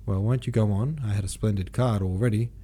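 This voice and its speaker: A man's voice: on the low side, moderate pace, mellow, husky. A man reading the part of a distraught young Russian count in an audiobook, anguished and questioning. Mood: feigned indifference. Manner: anguished, questioning inner monologue.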